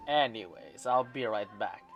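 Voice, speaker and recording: A man's voice: on the low side, lively intonation, normal speed, background noise. A man streaming a video game, giving casual, slangy commentary in short exclamatory reactions. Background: game music.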